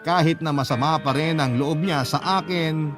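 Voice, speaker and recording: Male radio drama narrator, scripted first-person storytelling, slightly high voice with clear music underneath. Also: steady tone, moderate pace. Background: background music.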